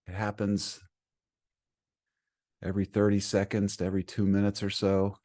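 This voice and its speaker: A man leading a guided meditation as a teacher, speaking gently and soothingly in short phrases with frequent pauses. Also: lowish voice, monotone.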